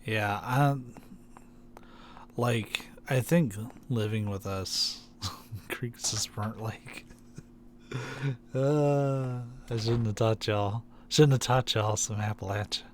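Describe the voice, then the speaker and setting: Low-voiced rambling man streamer. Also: slow, pauses often, slight background noise. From Kentucky, USA. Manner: rambling, full of "like" and restarts. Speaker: game streamer. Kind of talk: casual chat while playing a game.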